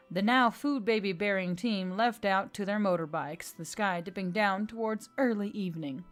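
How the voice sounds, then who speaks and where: A woman reading scripted story narration as the narrator of a work of fiction. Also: medium pitch.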